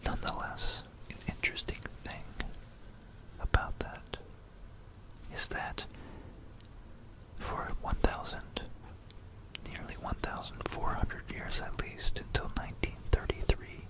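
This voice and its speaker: An ASMR host whispering a rambling, informal history narration in a breathy, unvoiced voice, halting and musing, close to a binaural microphone. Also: low-quality recording. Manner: halting, musing, repeats phrases.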